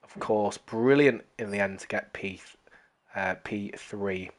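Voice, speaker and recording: A race commentator calling an online racing league, hoarse from losing their voice and excitable during close battles. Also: low-pitched, slow. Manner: talks to viewers as "guys".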